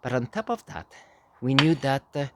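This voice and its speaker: A man giving a storytelling speech as an amateur speaker, halting, with dramatic pauses and emphasis. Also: mid-range voice, animated, background noise. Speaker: amateur public speaker practicing a contest speech. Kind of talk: animated storytelling speech, tall tale.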